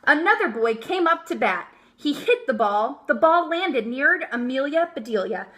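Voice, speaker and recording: Bright, high-pitched woman reading aloud to children. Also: normal speed. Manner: expressive, emphatic. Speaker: storybook reader. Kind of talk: animated children's read-aloud.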